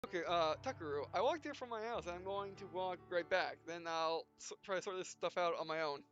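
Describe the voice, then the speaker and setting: A man's voice: sits high, a little fast, fairly bright tone. A man doing a let's play, reading game dialogue aloud in a rambling, stumbling way with filler syllables and asides. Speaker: gaming video host. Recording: muffled lo-fi mic, music behind. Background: game music.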